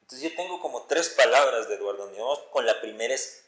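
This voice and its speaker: A man's voice: mid-range voice, animated, fast, fairly bright tone. An Ecuadorian man hosting a casual interview, feeling for his words and repeating phrases for emphasis. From Ecuador, born in Quito. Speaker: podcast host, interviewer.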